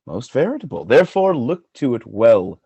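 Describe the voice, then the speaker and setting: An actor playing a proud, jealous general in a Shakespearean radio play, declaiming grand verse in a measured, volatile way. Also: male voice, mid-range voice, big pitch swings, mellow, slightly rough, dry recording, highs cut off, full character voice. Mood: ominous, intent.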